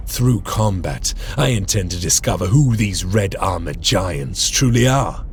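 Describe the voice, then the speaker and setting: Gravelly, stern veteran marine sergeant. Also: male voice, fairly low pitch, slight background noise. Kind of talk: dramatic video game voice acting.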